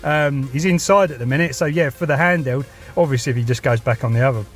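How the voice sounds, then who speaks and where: A man's voice: mid-range voice, fast talker, faint music behind. A man with a southern English accent chatting casually and matily as a CB radio operator, recorded inside a vehicle. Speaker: CB radio operator filming a vlog.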